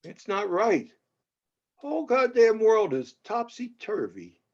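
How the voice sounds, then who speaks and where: A man's voice: sits high, very animated, slow, muffled recording, heavily acted. A man playing an unhinged, menacing old producer who grumbles and commands in a screenplay table read. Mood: aggrieved.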